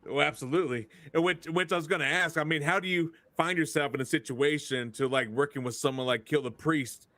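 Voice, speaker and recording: A man's voice: on the high side, steady tone, brisk, few pauses, bright, forceful tone, no room sound. A man co-hosting a podcast, laid-back, laughing often and dropping crude, jokey asides. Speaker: podcast co-host. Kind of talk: casual podcast chat.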